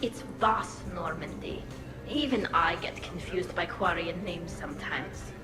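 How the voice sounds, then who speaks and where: A woman's voice: on the low side, measured pace, lightly acted. A woman playing a cheeky young female alien in video game dialogue. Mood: playful. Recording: echoey room with loud music.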